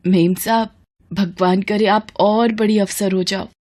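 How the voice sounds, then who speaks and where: A woman's voice: mid-range voice, fairly even intonation, unhurried, darkish tone, natural voice. A woman voicing a humble, anxious poor mother in a cartoon story, speaking in a hesitant, pleading, deferential way. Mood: respectful. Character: humble, anxious, grateful poor widowed mother seeking work. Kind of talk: Hindi cartoon story dialogue.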